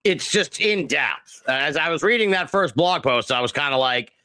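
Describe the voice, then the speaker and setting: Bright-voiced American male podcast host. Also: on the high side, lively intonation, normal speed, dry recording. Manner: effusive, rambling. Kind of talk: casual interview chat.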